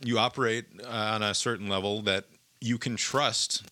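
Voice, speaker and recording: A man chatting in a reflective, musing manner. His voice sits fairly low but has a bright, forceful edge, and it sounds dry and close, with very little room around it. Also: steady tone, normal speed, dry recording. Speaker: participant in a group conversation. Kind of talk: casual chat.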